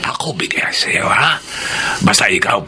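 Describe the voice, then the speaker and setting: An actor playing a wheedling Chinese businessman in a radio drama, with a Chinese accent and halting, ingratiating broken phrasing. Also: on the low side, animated, sharp tone, in a whisper, exaggerated voice. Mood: wheedling, scheming. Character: Chinese businessman racketeer, wheedling, eager to bribe.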